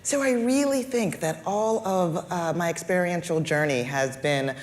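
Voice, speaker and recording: A woman guest with a deeper voice answering on a panel, speaking in a deliberate, measured way with formal wording. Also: a little mellow. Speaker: guest, healthcare executive.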